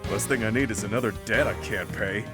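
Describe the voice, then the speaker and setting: An actor voicing a brash, laid-back brawler in a video game, breezy, cocky and slangy. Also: male voice, lowish voice, fast, over music, lightly acted. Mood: bored, grumbling.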